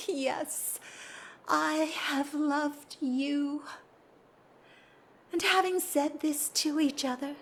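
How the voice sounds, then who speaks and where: Slow, high-voiced, wistful society lady, played by an actress. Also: slight background noise. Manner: formal, earnest, theatrical, measured delivery. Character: Lady Gertrude, wistful, dignified married society woman in love. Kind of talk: period audio drama acting.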